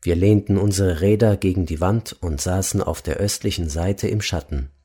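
Male narrator, lowish mellow voice, steady measured read-aloud delivery. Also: husky. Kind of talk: reading a devotional book aloud.

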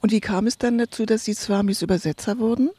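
A woman interviewing a guest, asking questions quickly and lightly. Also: medium pitch, steady tone, mellow, breathy edge, no room sound.

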